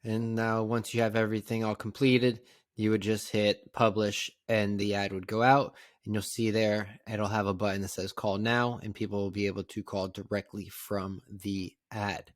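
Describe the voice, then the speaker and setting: A man narrating a casual step-by-step software tutorial in a plain, conversational, instructional way. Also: fairly low pitch, little pitch movement, moderate pace, no room sound, clean studio sound. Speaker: tutorial presenter.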